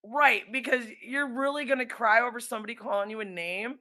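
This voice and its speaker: A woman's voice: on the high side, fairly even intonation, brisk, sharp tone. A woman interviewing in a casual, conversational way, chiming in agreeably.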